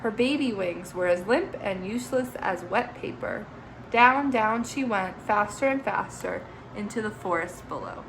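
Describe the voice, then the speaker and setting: A woman teacher reading a children's picture book aloud, expressive, with chatty asides to young listeners. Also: mid-range voice, measured pace, vocal fry, noisy background.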